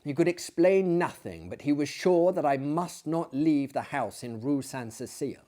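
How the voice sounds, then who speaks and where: A man reading as a narrator in a dramatic audiobook style, theatrical, letting his phrases fall away to a hush. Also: on the high side, animated, moderate pace. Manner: theatrical, hushed phrase endings. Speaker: narrator and performer.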